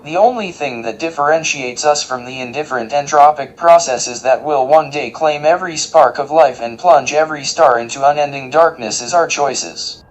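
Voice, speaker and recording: An actor playing a polite, literal-minded robot diner worker in audio fiction, speaking formally and precisely with deadpan earnestness. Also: mid-range voice, moderate pace, close to an everyday voice, low-quality recording. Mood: earnest, deadpan.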